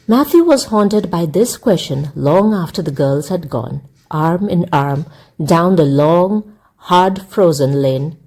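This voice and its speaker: A woman reading a classic story aloud as an audiobook narrator, in an expressive storytelling style. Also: deep voice, poor audio.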